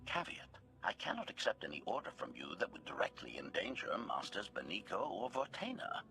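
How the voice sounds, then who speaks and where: An actor playing a servile combat droid in a video game, speaking in formal, clipped announcements with a robotic filter and cool menace. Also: male voice, low-pitched, wildly swooping pitch, a little fast, vocal fry, sharp tone, faint music behind, full character voice. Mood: formal, obedient. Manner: announces each statement type, formal and clipped. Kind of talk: video game character acting.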